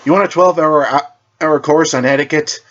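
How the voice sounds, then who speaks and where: A man's voice: on the high side, fairly quick, a bit bright, dull audio, voice pushed up, lightly acted. Man voicing an earnest, lecturing superhero team leader. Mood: dry, warning. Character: stern, exasperated young male superhero team leader.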